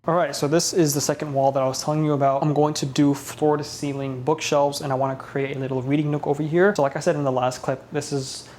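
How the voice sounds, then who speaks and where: A man's voice: mid-range voice, a little mellow, slight background noise. A man with a mild head cold talking casually as the host of a home tour vlog, indoors in an empty living room.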